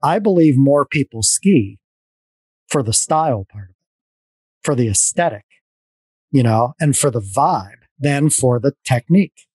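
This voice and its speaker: A middle-aged man hosts a casual podcast over a video call, talking through long stories full of restarts and asides. His voice sits at a middle pitch and sounds close and dry through his headset. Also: unhurried, pauses often, slightly rough, dry recording. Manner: rambling, animated storytelling with restarts. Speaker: podcast host. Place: dim home room.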